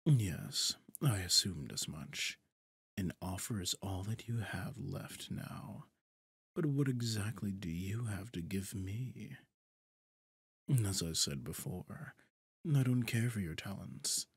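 Sly menacing demon, ASMR audio drama, deep gravelly voice, breathy, theatrical, deliberate, possessive. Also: male voice, lively intonation, no room sound, clean studio sound, exaggerated voice. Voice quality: husky, breathy, gravelly, often near a whisper. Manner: theatrical, taunting, slow and deliberate. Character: possessive male demon, smug, sly, menacing deal-maker.

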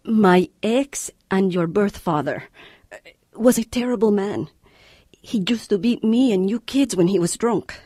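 A woman's voice: mid-range voice, measured pace, mellow, slightly rough, no room sound, studio quality. An actress playing a worried, caring mother of two boys, earnest, in a radio drama. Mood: gentle, confiding.

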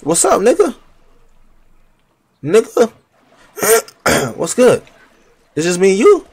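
A young man livestreaming a game on a headset, chatting loosely in slang and trash-talking enemies, his voice deeper while getting over a cold. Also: wildly swooping pitch, takes it slowly, gravelly, darkish tone, husky. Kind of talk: casual gameplay commentary. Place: home gaming setup, indoors.

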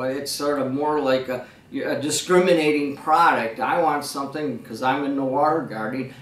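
A man's voice: medium pitch, slight background noise. A middle-aged man giving an informal product demonstration in a rambling, folksy, plainspoken way, recorded in a living room from a camera a few metres away. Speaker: waterfall materials seller and presenter. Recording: roomy, echoey, distant camera microphone.

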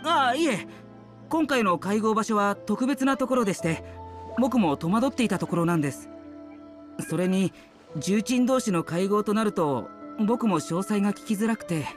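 An anxious, humble young servant. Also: male voice, high voice, expressive, normal speed, faint music behind. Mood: worried. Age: young adult. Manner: apologetic, very polite, hesitant. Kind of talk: video game character acting.

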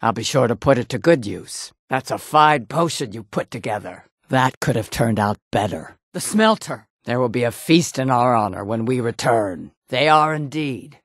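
A woman voicing a gruff, proud female orc warrior in a video game, blunt and menacing, with a gravelly, husky voice. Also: extremely low pitch, lively intonation, moderate pace, few pauses, no echo, clean studio sound, heavily acted. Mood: blunt, curt. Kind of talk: video game character voice lines.

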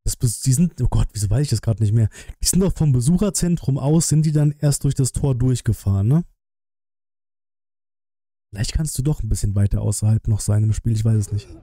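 A man in his thirties talks casually on a live stream, musing out loud in colloquial phrases. His voice is strikingly bright and crisp-edged, at a middling pitch. The sound is dry and close. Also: fairly quick, dry recording. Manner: musing, colloquial, thinking aloud. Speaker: streamer reacting to a game trailer.

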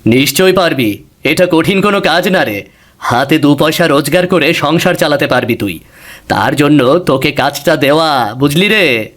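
A generous village landowner from a cartoon tale, acted by a man. He sounds encouraging and sympathetic, with a slightly high male voice. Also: animated, moderate pace, fairly bright tone. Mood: reassuring.

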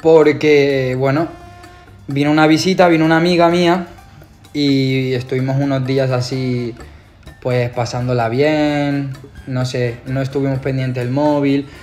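A man's voice: mid-range voice, level tone, unhurried, darkish tone. A young man with a Spanish accent from Spain, talking to his phone camera in a laid-back, slangy way. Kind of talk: casual social media video. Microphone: phone camera close up. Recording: phone clip with background music.

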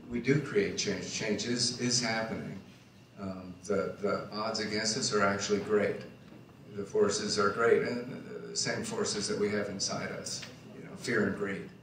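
A man speaks earnestly and reflectively, restarting his phrases now and then. His lowish voice echoes around a big wooden barn hall. It is picked up from a distance, with faint noise underneath. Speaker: panelist, organic farmer. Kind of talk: panel discussion remarks. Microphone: handheld mic, far camera.

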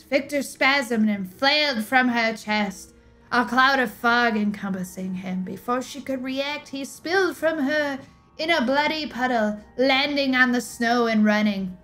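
A young woman giving a dramatic scripted narration, theatrical, with drawn-out emphasis and pauses, close on a streaming mic. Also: fairly high pitch, a bit bright. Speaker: streamer reading game lore aloud. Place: small indoor room, livestream setup. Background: music.

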